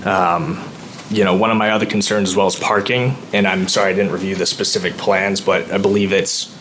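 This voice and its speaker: A man with a deep voice gives public comment at a town meeting and holds his pitch flat. He sounds nervous and halting and fills the gaps with ums. Faint noise sits under the room audio. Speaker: resident speaking at public comment.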